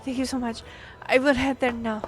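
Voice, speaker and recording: A woman's voice: a little high, flat delivery, moderate pace, lightly acted. A woman playing a polite, flustered young half-elf royal liaison in a fantasy audio roleplay, hesitant and apologetic. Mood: grateful.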